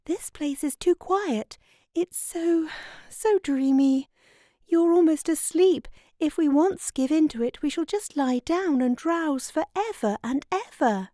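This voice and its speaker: A woman's voice: sits high, slow, dark tone, dry recording, clean studio sound, pitched above the performer's own voice. A woman voicing a dreamy but sensible young girl in a children's storybook, earnest and questioning. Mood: practical, uneasy.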